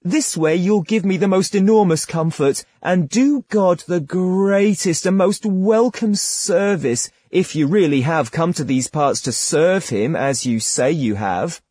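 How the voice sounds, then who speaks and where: A reader voicing a sly, lustful male hermit who talks slowly in a coaxing, pious-sounding way. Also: medium pitch, lively intonation, a little mellow, slightly rough, no room sound, clean studio sound, voice pushed up.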